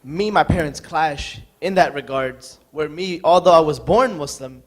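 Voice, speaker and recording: A man's voice: on the high side, moderate pace. A man from the audience earnestly asking the panel a long question, thinking aloud as he goes.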